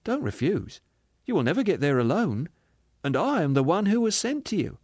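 A male narrator takes on a happy young spirit in an audiobook. He is forgiving, amused and softly coaxing. The pitch sits raised and very mobile, in a dry, close sound. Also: sing-song, moderate pace, slightly rough, no echo, exaggerated voice. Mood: joyful, coaxing. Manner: gentle, amused, lightly coaxing. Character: joyful, forgiving young male heavenly spirit, once a murderer. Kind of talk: dramatised audiobook reading.

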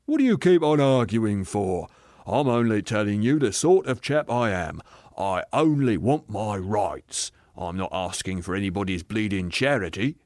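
A male narrator plays a self-righteous working-class ghost in an audiobook. He blusters and sulks, leaning hard and slow on his grievances. His pitch moves about a great deal, and the sound is very dry. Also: lively intonation, a little mellow, dry recording, close to an everyday voice. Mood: sulky, bitter. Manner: blustering, sulky, argumentative, drawn-out emphasis. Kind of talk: dramatised audiobook reading.

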